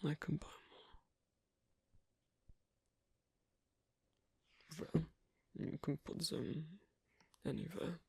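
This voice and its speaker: A performer voicing an awkward, asocial werewolf in an ASMR roleplay, speaking in a husky half-whisper with halting, terse phrases, as a non-native English speaker. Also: mid-range voice, level tone, dry recording. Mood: shyly fond. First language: not English. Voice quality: breathy, husky. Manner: halting, terse, quiet instructions with clipped grammar. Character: asocial, awkward werewolf teaching sewing on a date.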